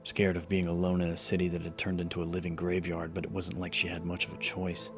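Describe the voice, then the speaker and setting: A man's voice: deep voice, monotone, fast talker. A man reading scripted horror fiction as a dramatic audiobook narrator. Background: background music.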